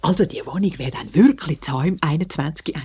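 Husky, lively Swiss older mother. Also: low voice, a little fast, exaggerated voice. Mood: eager, coaxing. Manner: coaxing, insistent. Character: eager, persuasive older mother or grandmother of the household. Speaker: actor. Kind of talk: 1950s German-language radio drama acting.

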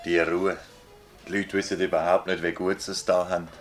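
An actor playing an authoritative public prosecutor with a Swiss German accent, brisk and formal, in a radio drama. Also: male voice, deep voice, little pitch movement, soft background music, natural voice. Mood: musing. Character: authoritative male public prosecutor questioning village witnesses. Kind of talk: radio drama acting in dialect.

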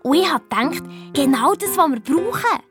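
A woman's voice: high-pitched, animated, moderate pace, over music. A woman playing a practical, sceptical young schoolgirl detective with a Swiss German accent, talking in a matter-of-fact, colloquial way. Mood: excited. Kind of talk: children's radio play, colloquial retelling.

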